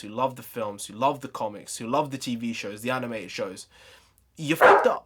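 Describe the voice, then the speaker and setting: A man talking straight to camera in a casual vlog, his voice a little hoarse from overuse. He rants and rambles in a chatty, animated way at a middle pitch. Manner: chatty, ranting, animated sign-off. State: voice going hoarse. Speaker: YouTube vlogger.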